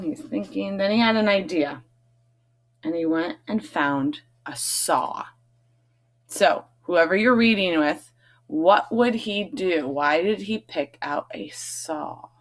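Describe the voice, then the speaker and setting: Woman doing a kids read-aloud, slowish n lowish voice, expressive, chats and asks stuff as she goes. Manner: expressive reading, emphasizes key words, chatty questioning asides. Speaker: read-aloud host, teacher reading to children. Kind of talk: picture-book read-aloud with asides.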